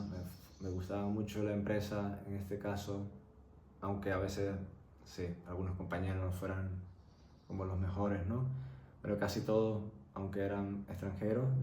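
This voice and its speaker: A young man telling a candid personal story in a reflective, halting way. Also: on the low side, dark tone. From Venezuela-born, grew up in Tenerife, Spain. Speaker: vlogger. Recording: roomy echo, faint background noise.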